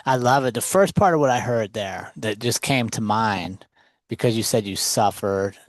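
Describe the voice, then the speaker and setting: A man's voice: fairly low pitch, moderate pace, dry recording. A man hosting a podcast, affirming and encouraging, summing up what his guest says. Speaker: podcast host. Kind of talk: interview chat.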